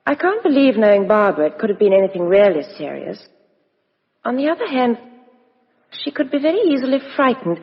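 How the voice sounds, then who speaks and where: An actress playing a cool, outspoken, sharp-minded young Englishwoman in a 1950s radio drama. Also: on the high side, big pitch swings, brisk. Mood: agitated, frank. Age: young adult. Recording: muffled vintage radio broadcast, reverberant studio.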